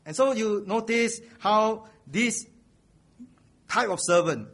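A man's voice: high voice, slow pace, fairly bright tone. A man preaching a sermon, earnest, repeating a refrain and building to raised emphasis.

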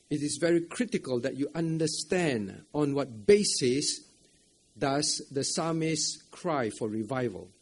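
Husky, upbeat pastor. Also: male voice, a little high, a little mellow. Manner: asks the audience many questions, repeats phrases, tags lines with "right?"; chuckles often between points. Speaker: pastor preaching at a church camp. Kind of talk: interactive camp sermon. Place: church camp meeting room.

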